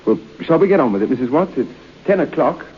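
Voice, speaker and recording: An actor playing an urbane, sceptical, self-assured gentleman in an old radio drama. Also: on the high side, animated, quick pace, breathy edge. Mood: breezy, confident. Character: breezy, sceptical gentleman making a wager. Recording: muffled old radio recording with hiss.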